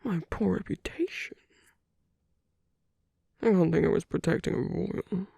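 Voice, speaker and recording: A woman playing a flirtatious villain in an intimate ASMR roleplay, teasing and tender, speaking softly in a coaxing half-whisper. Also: lowish voice, a little slow, creaky, husky, no room sound, clean studio sound, heavily acted.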